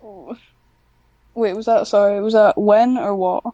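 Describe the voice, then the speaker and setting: A woman's voice: medium pitch, fairly even intonation, a little slow, dark tone, dry recording, highs cut off. A woman chatting casually as an interview guest, informal and self-deprecating. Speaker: podcast guest, amateur script writer.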